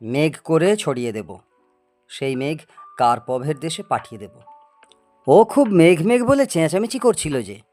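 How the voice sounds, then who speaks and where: A man's voice: on the high side, big pitch swings, a little slow, pauses often, darkish tone, faint music behind. A man playing a boastful, eccentric older scientist in an audio story, lecturing and teasing in a grandiose way. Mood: smug, bold. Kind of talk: dramatised audio story acting.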